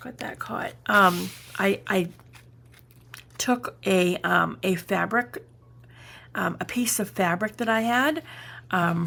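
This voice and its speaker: A woman talks slowly through a casual craft show-and-tell, pausing often as she goes. Her voice is a little raspy with noticeable fry at the edges, over faint background noise. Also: mid-range voice, fairly bright tone. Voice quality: slightly raspy morning voice. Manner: unhurried, chatty, halting as she shows items. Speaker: craft vlogger.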